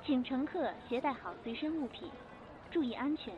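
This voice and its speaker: Actress doing a formal station announcer lady, high voice, tinny loudspeaker, noisy crowd around. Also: moderate pace. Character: formal, polite female train station announcer. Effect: station loudspeaker filter. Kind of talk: scripted public announcement.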